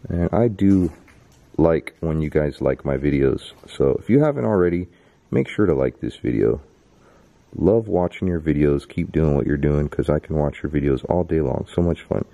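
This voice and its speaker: A man in his thirties hosting a vlog, chatting to the camera and reading off viewer names while exploring a dark abandoned stadium at night. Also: very deep voice, lively intonation, normal speed, creaky, highs cut off. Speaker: YouTube host. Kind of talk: casual vlog narration. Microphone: handheld camera held close.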